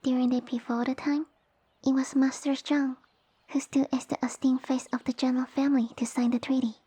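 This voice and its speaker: Haughty young lamia heiress, played by a woman, high and breathy, pompous and bossy, for ASMR roleplay audio. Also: flat delivery, a little fast, warm tone. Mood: proud, solemn. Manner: pompous, formal, commanding speech. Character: haughty, sassy young lamia noblewoman, tiny monster girl.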